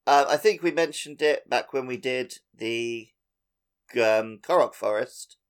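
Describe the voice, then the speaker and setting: A man's voice: mid-range voice, measured pace, clear, resonant tone. A man co-hosting a video game podcast, chatting casually in an informal, laid-back manner. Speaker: podcast co-host.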